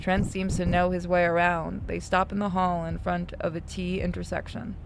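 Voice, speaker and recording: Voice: mid-range voice, level tone, normal speed, creaky, over music. A streamer narrating a horror visual novel aloud and breaking off for casual asides.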